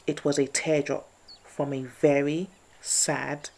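Woman reading a kids bedtime story, lowish voice w some creak, really expressive. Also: slow. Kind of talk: expressive children's picture-book read-aloud.

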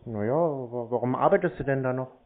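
A man's voice: mid-range voice, brisk. A man in casual phone conversation, needling the other person and playing ignorant. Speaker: prank-taker of scam calls. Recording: muffled, noisy phone recording.

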